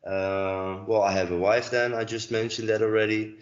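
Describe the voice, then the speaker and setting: A man's voice: fairly low pitch, little pitch movement, normal speed, roomy sound, highs cut off. A Dutch man in his forties, speaking English with a Dutch accent as a guest in a casual interview, hesitant and full of fillers. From Netherlands. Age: 40s. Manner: hesitant, many fillers and restarts. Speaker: guest, dancer and choreographer. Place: at home.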